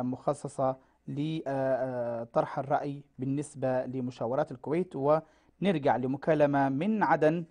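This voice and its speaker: A man in his thirties hosting a TV call-in show from a studio, quick and friendly, with short acknowledgements to callers. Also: mid-range voice, darkish tone. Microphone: lapel mic.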